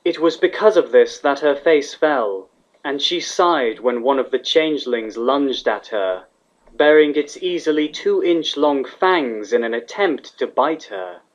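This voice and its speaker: A man's voice: medium pitch, lively intonation, unhurried. A man reading fan fiction aloud as a scripted story narrator. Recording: dull, lo-fi sound.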